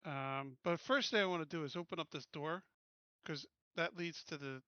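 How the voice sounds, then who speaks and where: A man's voice: fairly high pitch, moderate pace, pushed and bright, clean tone, no echo, highs cut off. A man playing a tabletop roleplaying game, chatting casually and thinking aloud as he plans.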